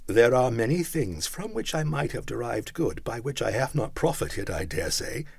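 A cheerful young man pleads earnestly, as a male reader gives him voice. His pitch rises and falls with feeling, recorded close and dry. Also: very animated, normal speed, vocal fry, a little mellow, husky, dry recording, heavily acted.

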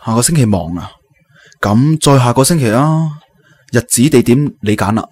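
An actor playing an earnest, devoted young suitor in a radio drama, speaking insistently and with some guilt. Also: male voice, medium pitch, animated, normal speed, darkish tone, breathy edge. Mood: pleading. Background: music.

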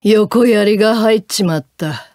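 A woman's voice: mid-range voice, unhurried, a little mellow, dry recording, studio quality, natural voice. A woman voicing a brash, battle-hungry swordswoman in a fighting game, with coarse, mannish, taunting speech. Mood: cocky, menacing. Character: brash, rough-talking one-eyed swordswoman, battle-hungry and mocking. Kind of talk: Japanese fighting game voice acting.

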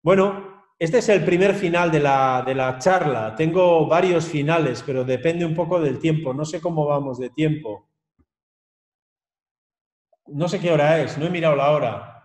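A man with a slightly higher voice gives a relaxed academic talk over a video call, keeps checking in with his listeners and sounds set in an echoey room. His comes through as he explains. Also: normal speed. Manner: lively, conversational, with frequent tag questions. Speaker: lecturer. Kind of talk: academic webinar lecture.

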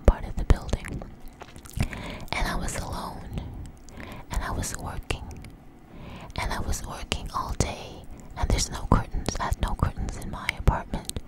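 A woman speaks in a breathy whisper, right up close to the microphone, as an ASMR host. She tells a personal story without hurry, pausing and repeating phrases as she goes. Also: low-quality recording. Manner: unhurried, halting, repeats phrases.

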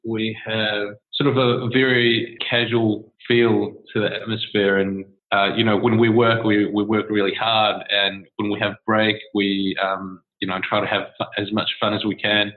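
Nz guy, lowish voice, rambly relaxed interview answers, sounds like a phone line. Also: measured pace, few pauses. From New Zealand. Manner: relaxed and discursive, with many restarts and fillers. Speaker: startup co-founder, guest.

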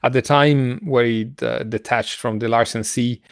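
A middle-aged man with a gravelly voice, co-hosting a casual science news discussion in a halting way, searching for words. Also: mid-range voice, steady tone, no echo, studio quality. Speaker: podcast co-host. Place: home room, video call. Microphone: headset on a video call.

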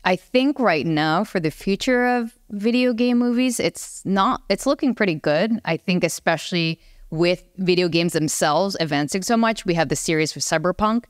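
A woman with a fairly low, clear voice chats casually on a panel. She is frank and informal in her opinions. The sound is close and dry, with very little room. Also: lively intonation, moderate pace, few pauses, dry recording. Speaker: podcast panelist. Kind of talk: casual panel discussion about films and games.

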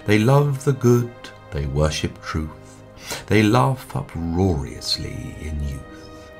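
A man giving a dramatic poetry recitation in a measured, expressive way, with hushed line endings. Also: low-pitched, creaky. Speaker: poetry reader. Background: music.

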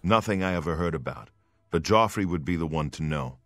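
An actor playing a dutiful imperial bodyguard knight in a fantasy game, grave, earnest and soldierly. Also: male voice, low voice, animated, fairly quick, fairly bright tone, dry recording. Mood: earnest, explanatory. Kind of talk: fantasy video game acting.

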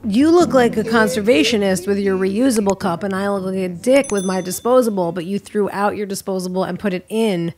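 A woman in her thirties, a podcast guest, chatting in a lively, teasing way, seated in a living room at a boom-arm studio mic. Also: a little fast, hardly any pauses, over music. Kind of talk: casual chat. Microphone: studio mic on boom arm, headphones.

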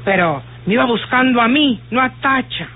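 A woman's voice: on the high side, lively intonation, moderate pace, slightly rough. An actress playing a jealous, rustic woman confiding suspicions in a folksy, hesitant radio drama manner. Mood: jealous, suspicious. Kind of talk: old radio drama acting. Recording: muffled tinny old radio recording.

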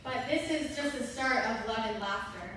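A woman's voice: fairly high pitch, moderate pace, a bit bright. A young woman reading a scripted rhyming bridesmaid speech at a wedding reception. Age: young adult. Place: large wood-walled indoor reception venue. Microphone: mic on a stand, picked up by far camera. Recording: echoey hall, distant camera, noisy room.

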